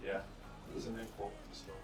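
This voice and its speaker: A man's voice: fairly low pitch, flat delivery, brisk. A man asking interview questions as the interviewer, outdoors. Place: outdoors on a sea front. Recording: roomy echo, distant mic, faint music.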